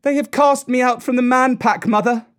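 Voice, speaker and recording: Man voicing a proud, scornful wolf-raised boy, high-pitched. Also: expressive, measured pace. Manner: archaic, declamatory phrasing. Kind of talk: storybook character voice.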